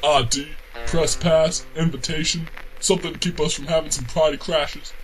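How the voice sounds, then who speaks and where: A man playing a huge, gruff male security guard barking demands, heard from a distance with noise behind. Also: fairly high pitch, level tone, faint music behind, close to an everyday voice. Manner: gruff, rapid-fire demands.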